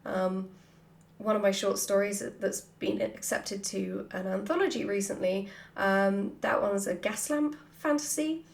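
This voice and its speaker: A woman chatting casually and playfully as she answers questions from her followers, with an animated manner. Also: medium pitch, measured pace, vocal fry. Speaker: writer hosting a Q&A. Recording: roomy, echoey sound.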